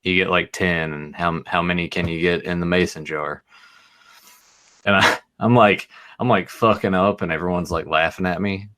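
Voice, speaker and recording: A man's voice: sits low, fairly even intonation, moderate pace, sharp tone. A man hosting a podcast in casual chat, telling rambling stories with free swearing and laughing at himself. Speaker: podcast host.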